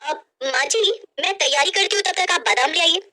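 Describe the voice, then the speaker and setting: Woman as a high-pitched, sly young daughter-in-law. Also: a little fast, sharp tone, a little hiss or hum. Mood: eager, evasive. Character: sly, lazy young married woman, sweet-talking twin sister. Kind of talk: animated Hindi moral story voicing.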